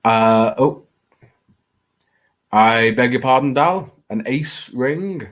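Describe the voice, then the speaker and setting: A man's voice: mid-range voice, takes it slowly. A man with a Scouse accent hosting a casual, rambling live chat, speaking in a hesitant, self-deprecating way with theatrical flourishes. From England. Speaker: host of a late-night livestream.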